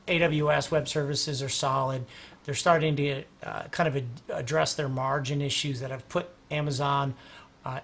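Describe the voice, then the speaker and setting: Male host, fairly high, gravelly, losing his voice, loose rambling chart talk. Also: fairly bright tone. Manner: rambling, restarts, clears throat often. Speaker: host and market analyst. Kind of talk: casual livestream chart analysis.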